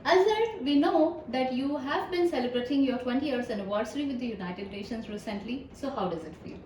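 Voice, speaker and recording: A woman hosting an interview, friendly and quick with affirmations. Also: sits high, expressive, darkish tone, echoey room, distant mic, slight background noise. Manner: friendly, frequent backchannel affirmations. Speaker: host, interviewer.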